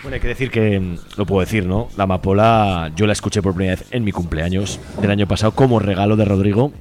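A man's voice: on the low side, quick pace, noisy background. A man hosting a casual radio interview, chatty and upbeat as he asks questions. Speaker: radio host. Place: radio studio.

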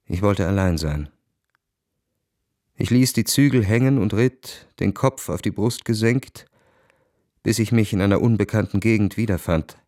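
A man's voice: on the low side, normal speed, long pauses, a little mellow, no echo, clean studio sound, natural voice. An actor playing a proud, world-weary young Russian officer who narrates his own story dramatically in a radio play. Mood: weary, reflective.

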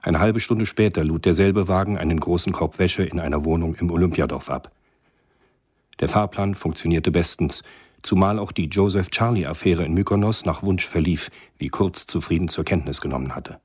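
A man with a rough, husky voice narrating a German radio thriller from a script in a measured, matter-of-fact way. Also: low voice, darkish tone.

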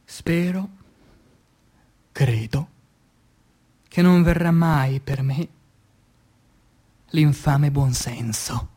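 A man with a slightly high voice recites verse for radio theatre at a very unhurried pace. His delivery is solemn and declamatory, with halting, deliberate pauses between short phrases. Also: dark tone. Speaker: actor reciting poetry. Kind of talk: slow dramatic poetry recitation for radio theatre.

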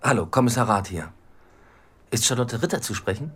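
A man's voice: mid-range voice, expressive, fairly quick, fairly bright tone, husky. A man playing a reserved, guarded young police inspector in a radio drama, speaking in a terse, understated way. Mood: wry, polite. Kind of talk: naturalistic radio drama acting.

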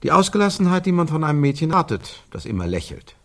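A man in a German radio play acting an insistent commentator who speaks emphatically in repetitive phrases, with a husky, gravelly voice. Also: fairly high pitch, very animated, fast, fairly bright tone. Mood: insistent, defensive. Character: male commentator picturing a woman's life, brisk and insistent.

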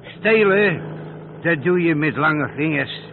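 An actor playing an old career thief in a radio play, lecturing emphatically. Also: male voice, on the high side, lively intonation, takes it slowly, phone-quality sound. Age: older. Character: old male career thief, insistent, preaching against violence. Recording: noisy, thin old radio recording.

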